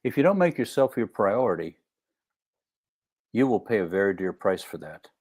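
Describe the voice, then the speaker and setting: Emphatic man with a lowish voice. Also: very animated, moderate pace, darkish tone, breathy edge. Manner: emphatic, declarative. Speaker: guest doctor. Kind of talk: explanatory live discussion.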